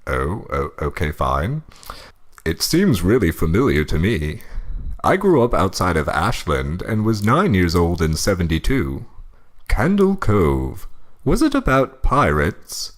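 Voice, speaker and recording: Befuddled older gentleman, acted by a man, snooty, hesitant, rambling, fairly low voice, very animated swings, comedy storytime. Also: measured pace, heavily acted. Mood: hesitant reading. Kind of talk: comic in-character banter and story reading.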